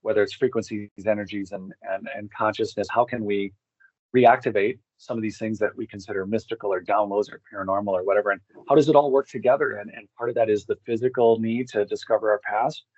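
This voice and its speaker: Guy with a lowish voice chatting in an interview, kinda rambly, really dry close sound, bit dull. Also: fairly even intonation, fast, darkish tone, dry recording. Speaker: guest, expedition group co-founder. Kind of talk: casual interview talk.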